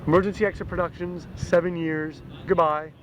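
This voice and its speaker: A man playing a dejected broadcaster who has just lost his job, talking to himself haltingly outdoors with his voice going hoarse, over clear street noise. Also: a little high, fairly even intonation, lightly acted, low-quality recording. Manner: halting, repeats himself, talks to himself. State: losing his voice. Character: dejected male broadcaster in midlife, self-doubting. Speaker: actor playing a fired sports broadcaster. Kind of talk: improvised-sounding dramatic monologue.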